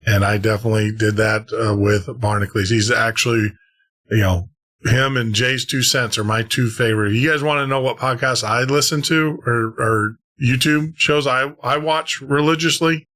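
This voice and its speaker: Bright-voiced podcast host, rambling. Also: male voice, medium pitch, steady tone, moderate pace. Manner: rambling, chuckling, with restarts. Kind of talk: casual podcast chat.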